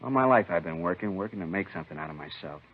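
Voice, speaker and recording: A man's voice: low voice, animated, rapid-fire, slight background noise. An actor playing a weary, bitter American prop man confessing to robbery in a 1950s radio crime drama, resigned and self-justifying in short sentences. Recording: muffled old 1950s radio recording.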